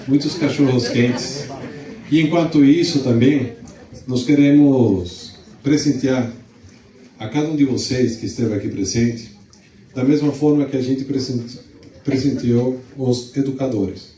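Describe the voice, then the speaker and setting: A middle-aged man from Venezuela, hosting a launch event in a relaxed, chatty way with restarts, speaking into a handheld mic in a hall. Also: mid-range voice, distant mic. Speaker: event host. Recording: echoey hall, mic some way off.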